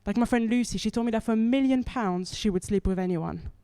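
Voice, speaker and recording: Young woman from france doing standup, wry and chatty, smooth clear voice, asks the crowd stuff. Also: on the high side, brisk, warm tone, no room sound. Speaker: stand-up comedian. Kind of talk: stand-up comedy set. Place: comedy club stage.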